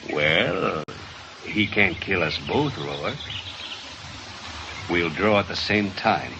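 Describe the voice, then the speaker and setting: An actor playing a cornered, distrustful American outlaw in a radio western, with a drawling, folksy, defiant way of talking. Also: male voice, deep voice, lively intonation, slow pace. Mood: cold, scheming. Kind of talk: old-time radio western acting. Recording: muffled, hissy old radio recording.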